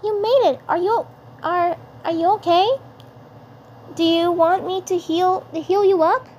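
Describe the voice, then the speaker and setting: A woman's voice: very high voice, steady tone, measured pace, a little mellow, muffled recording, slight background noise, voice pushed up. A woman voicing a sweet, caring little superhero girl, tender and anxious to help, in a cartoon fan dub. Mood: concerned, tender.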